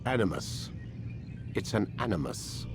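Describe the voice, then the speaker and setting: A condescending older scientist, lively intonation. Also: male voice, on the low side, measured pace, over music. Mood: cold, menacing. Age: middle-aged. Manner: pedantic, condescending, formal. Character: cold, condescending older male scientist, menacing and erudite. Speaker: scientist running a memory machine. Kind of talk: dramatic video game dialogue.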